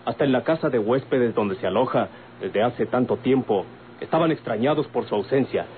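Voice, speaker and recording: A man performs an earnest Mexican character from an old radio serial. He is polite and formal, explaining insistently at a middling pitch. The recording is thin and band-limited. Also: normal speed, phone-quality sound, slight background noise, lightly acted. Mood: earnest, serious. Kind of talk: vintage Mexican radio drama acting.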